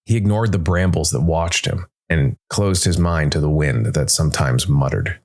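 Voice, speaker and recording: A man narrating a scripted dark fantasy story in a measured, deliberate way. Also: a bass voice. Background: music.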